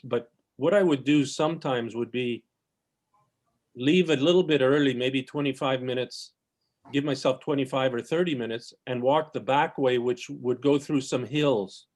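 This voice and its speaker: Halting man on a video call. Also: medium pitch. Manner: halting, repeats words while thinking. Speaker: club member telling a nature memory. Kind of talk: casual storytelling on a video call.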